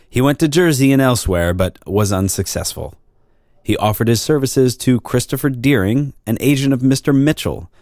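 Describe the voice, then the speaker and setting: A man's voice: fairly low pitch, darkish tone, no room sound, clean studio sound. A man narrating a historical crime account as a scripted dramatic reading.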